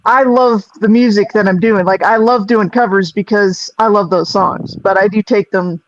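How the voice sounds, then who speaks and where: A woman with a low voice, a singer who also runs live sound, chatting loosely on a group call with lots of restarts. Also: steady tone, normal speed, muffled recording. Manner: rambling, restarts, self-deprecating laughs. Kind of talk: casual group video-call chat.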